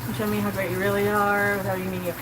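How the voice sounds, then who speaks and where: A woman's voice: mid-range voice, little pitch movement, rapid-fire. Rambling South Kansas woman over a sizzling pan. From Colorado. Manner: chatty, rambling, heavy use of "like", answers chat aloud. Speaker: livestreamer. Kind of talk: casual live-stream chat while cooking. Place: home kitchen. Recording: sizzling pan noise behind.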